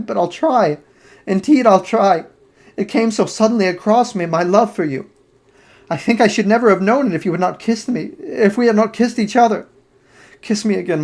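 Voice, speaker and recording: A woman's voice: medium pitch, a little mellow, pitched above the performer's own voice, natural voice. A man reading aloud, voicing a heartbroken young actress who pleads desperately and tearfully with her lover.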